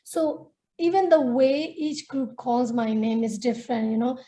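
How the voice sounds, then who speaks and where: A woman's voice: on the high side, unhurried, mellow. A woman from Myanmar, a native Nepali speaker, telling her life story in earnest, reflective way as a webinar panelist over a video call. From Myanmar, northern Shan State. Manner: earnest, reflective, with small restarts. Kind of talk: personal storytelling talk.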